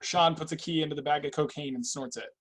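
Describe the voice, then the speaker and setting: A man with a husky voice reading stage directions aloud as scripted narration in a play reading. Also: on the high side, steady tone, quick pace.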